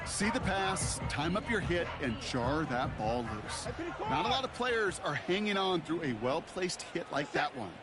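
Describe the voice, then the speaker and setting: A man's voice: on the high side, big pitch swings, a little slow, over loud music. A man playing an American color commentator, explaining plays in an emphatic, folksy, conversational way. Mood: analytical, emphatic. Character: folksy, emphatic male football analyst. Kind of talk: football analysis commentary. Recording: loud stadium noise behind voice.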